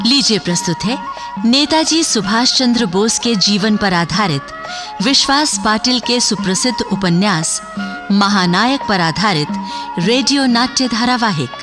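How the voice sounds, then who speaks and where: Slow, solemn woman radio narrator. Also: medium pitch, expressive. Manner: measured, solemn, formal. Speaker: announcer and narrator. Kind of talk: scripted dramatic radio narration. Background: music.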